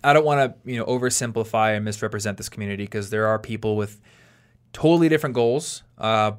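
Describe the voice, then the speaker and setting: A man in his late twenties hosting a podcast, explaining things in a relaxed chat while thinking aloud and restarting his sentences. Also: lowish voice, fast talker, clean tone. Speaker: podcast host.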